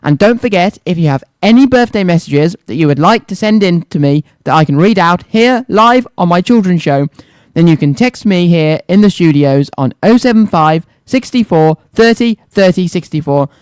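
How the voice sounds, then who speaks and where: High-pitched man hosting children's radio. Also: animated, normal speed, smooth, dry recording. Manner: addresses young listeners directly with repeated phrases and questions. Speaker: children's radio show host. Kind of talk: scripted-sounding live radio presenting to children.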